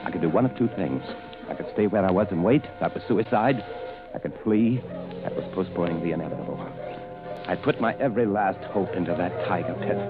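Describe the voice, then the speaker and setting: A man's voice: low voice, expressive, a little fast. An actor playing a desperate but resolute hunted man, narrating his ordeal with dramatic urgency in a vintage radio suspense drama. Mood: frantic, urgent. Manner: intense, dramatic, breathless urgency with clipped phrases. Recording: tinny old radio broadcast, loud music behind.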